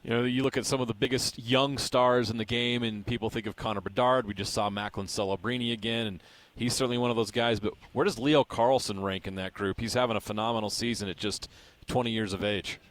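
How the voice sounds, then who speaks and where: A man talks quickly in a very dry, close studio sound. He is a sports radio host posing conversational interview questions at a medium pitch. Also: steady tone, fairly bright tone, no room sound, rough recording.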